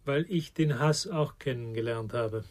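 An actor playing an earnest, probing psychotherapist, measured and reflective in a radio crime drama. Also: male voice, mid-range voice, darkish tone, lightly acted. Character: earnest, probing male psychotherapist and life counselor.

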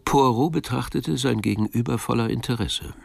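A man's voice: medium pitch, moderate pace, creaky, faint music behind. A man narrating a German audio drama in a scripted storytelling style.

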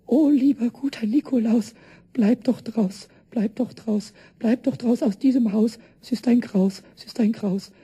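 Little mischievous kobold, very high squeaky pitch, scratchy rasp, childlike, stammering, excitable, children's radio play. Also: male voice, unhurried, full character voice. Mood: frightened. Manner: stammering, breathless repetitions.

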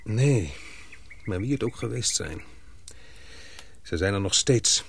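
A man's voice: lowish voice, animated, slow pace, a bit bright, slight background noise, heavily acted. A man playing a dutiful young English fiancé in a radio drama, thoughtful and restrained. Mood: grave, pondering.

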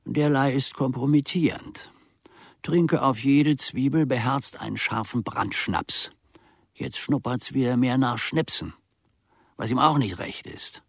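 An actor playing a resentful old manservant in a radio play, muttering to himself in a gravelly, husky voice with pedantic, mock-learned phrasing. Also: male voice, mid-range voice, unhurried, dry recording, studio quality. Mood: grumbling, sardonic. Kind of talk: theatrical radio play monologue.

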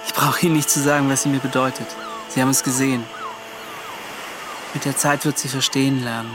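An actor playing an earnest, idealistic adventurer in a radio drama, thoughtful and a little hesitant, in standard German. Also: male voice, mid-range voice, flat delivery, brisk, over music, natural voice. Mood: wistful, proud. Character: earnest, idealistic adventurer, proud yet wistful.

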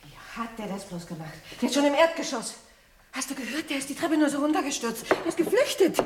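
A woman's voice: sits high, big pitch swings, brisk, background noise. An actress playing an anxious, sceptical woman who shields her companion, pointed and probing in a dramatic radio play style. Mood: agitated, urgent. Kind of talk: German radio play acting.

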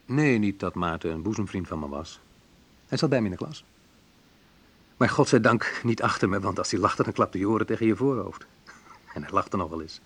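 Husky, expressive reflective man. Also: lowish voice, moderate pace, long pauses. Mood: wry, nostalgic. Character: Menno, reflective man recalling a school friend. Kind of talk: naturalistic radio drama monologue and phone talk.

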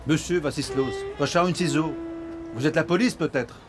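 An actor playing a chatty but wary Moroccan flea-market trader in a radio drama. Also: male voice, on the high side, normal speed, over music, natural voice. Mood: wary, challenging.

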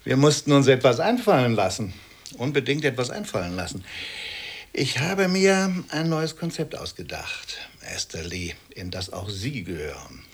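A man's voice: medium pitch, sing-song, normal speed, breathy edge. An actor playing an effusively polite, insistent and exacting male portrait painter in a radio play. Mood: insistent, persuasive.

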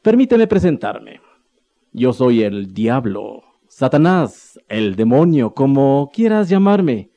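A sly devil tempter in a radio play, voiced by a man, wheedling and theatrical with sinister laughs. His voice swings widely in pitch and unhurried pace. It has a dark, mellow tone. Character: sly smooth-talking male devil tempter. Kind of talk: radio drama acting.

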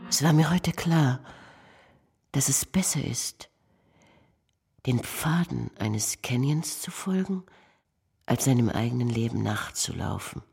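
A woman performing poetry in a radio drama in a hushed manner, over music. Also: very deep voice, expressive, takes it slowly, creaky, pauses often, slightly rough. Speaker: performer reciting German translation. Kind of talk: poetic radio drama recital. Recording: radio production with loud music underneath.

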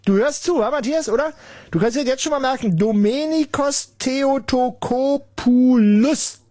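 A man hosting a late-night radio call-in show, sarcastic and rambling, with sudden bursts of mock shouting. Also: extremely high pitch, measured pace, no echo. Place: radio studio. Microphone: studio microphone.

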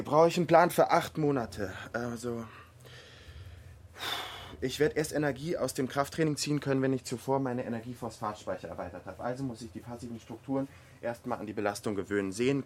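A man's voice: medium pitch, a little hiss or hum, close to an everyday voice. An actor playing a driven male athlete who methodically talks himself through a training diary in a radio drama. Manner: methodical, self-instructing.

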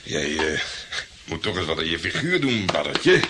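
An actor playing a cocky, flirtatious private detective in a radio drama, boastful and jokey in colloquial from the Netherlands. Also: male voice, sits low, very animated, measured pace, background noise. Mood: boastful, teasing. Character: cocky flirtatious male private detective, self-assured and slangy.

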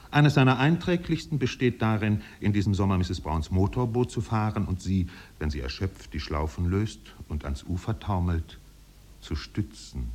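A male actor playing a wry, poetic narrator in a 1950s German radio drama, with deliberate literary phrasing. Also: on the low side, darkish tone, natural voice. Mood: dry, observant. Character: wry, poetic storyteller observing islanders.

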